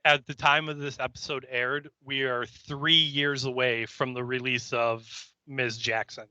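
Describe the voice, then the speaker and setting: A man with a very bright, sharp voice at an ordinary pitch chats casually as a podcast co-host. The recording is dry and slightly dull. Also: flat delivery, measured pace, smooth, no room sound. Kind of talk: casual chat.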